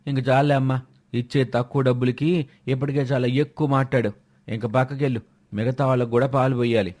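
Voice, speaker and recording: Man acting a grumbling village milk seller, medium pitch. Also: level tone, fast, a little mellow, close to an everyday voice. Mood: curt. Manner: defensive, complaining. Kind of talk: acted dialogue in a moral fairy tale.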